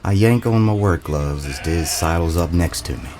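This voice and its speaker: A man narrating a scripted first-person story in a dramatic, brooding, deliberate manner. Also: sits low, lively intonation, noisy background.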